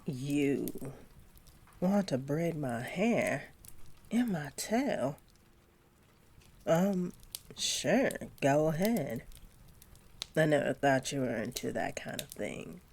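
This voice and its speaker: A doting young centaur girlfriend, acted by a woman, speaks in a low, dark-toned voice at a slow, unhurried pace. She addresses her partner intimately, soft and affectionate with a gently teasing sweetness, as in a roleplay audio. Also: sing-song, a little hiss or hum, full character voice. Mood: pleased, shy.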